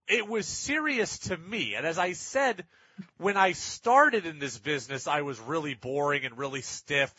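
A man's voice: on the high side, sharp tone, slightly rough, no echo, lo-fi sound. A man hosting sports talk radio, delivering opinionated monologues in an exasperated, emphatic, repetitive way.

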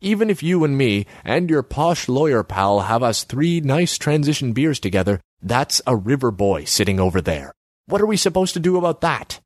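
A man's voice: medium pitch, very animated, normal speed, no room sound, clean studio sound, pitched above the performer's own voice. A man voicing a cocky, slangy, sneering male street gangster in a story reading. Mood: grudging, wary. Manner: taunting, slangy.

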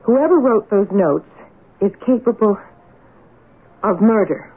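An actress playing an earnest, insistent amateur handwriting sleuth in a radio mystery drama, with a husky voice and deliberate delivery. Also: female voice, mid-range voice, lively intonation, full character voice. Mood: ominous. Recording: tinny old radio broadcast.

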